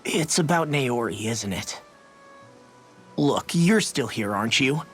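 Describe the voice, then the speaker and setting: An actor playing a hot-headed, loyal young fighter in a video game, blunt and slangy. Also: male voice, medium pitch, expressive, takes it slowly, faint music behind. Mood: blunt concern. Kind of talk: dramatic video game voice acting.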